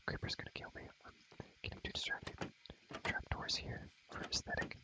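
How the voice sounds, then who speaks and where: A young man whispering rambling ASMR commentary on a game in a breathy voice, repeating phrases over and over with playful, slangy asides. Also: normal speed, rough recording. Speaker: ASMR gaming creator.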